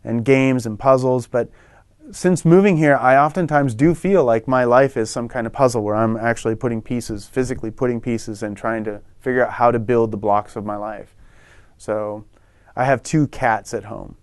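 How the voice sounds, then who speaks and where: A middle-aged man talking in a relaxed, rambling way, often restarting his sentences, as a guest in an interview-style lesson. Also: mid-range voice, normal speed, smooth, no echo. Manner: relaxed, rambling, self-correcting. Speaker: guest in a language lesson show. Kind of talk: casual interview chat.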